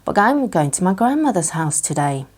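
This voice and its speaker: A woman's voice: on the low side, sing-song, moderate pace, darkish tone, slightly rough. Kind, encouraging young boy, voiced by a woman. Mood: kind, reassuring. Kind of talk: children's bedtime storybook reading.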